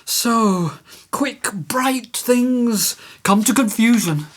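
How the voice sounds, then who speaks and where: A woman's voice: a little high, lively intonation, very slow, full character voice. A woman playing an ardent, proud young male lover, declaiming verse in a Shakespearean radio play. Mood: rueful, poetic. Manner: declamatory, poetic verse delivery.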